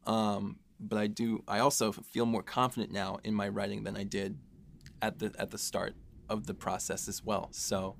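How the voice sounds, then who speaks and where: Low-voiced man in a casual discussion. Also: level tone, normal speed, creaky, slight background noise. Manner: self-deprecating, joking. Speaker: podcast host and audio drama writer.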